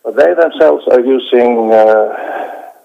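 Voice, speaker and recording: A man answers interview questions as a documentary director, stopping often and restarting his sentences. His voice has a gravelly, creaky edge at a middling pitch. The recording is dull and lo-fi. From Iceland. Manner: halting, many hesitations and restarts.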